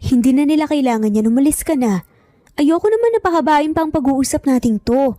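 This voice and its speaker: A guarded young single mother from an audio drama, acted by a woman narrator, speaks firmly with a Filipino accent. Her voice is fairly high yet very mellow, recorded dry. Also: expressive, a little fast, dry recording. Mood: upset, defensive.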